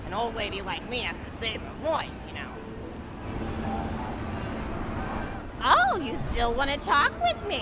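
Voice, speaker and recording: Game grandma: elderly, sweet but gleefully violent, rambling, very lively intonation. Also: female voice, a little high. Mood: doting, gossipy. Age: older. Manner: rambling, folksy, gushing, theatrical. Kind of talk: animated video game character storytelling.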